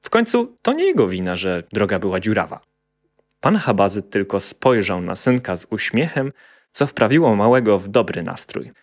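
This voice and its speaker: A male storyteller reads a scripted fairy tale for children. His voice is on the low side, and his intonation is very lively and varied. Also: moderate pace.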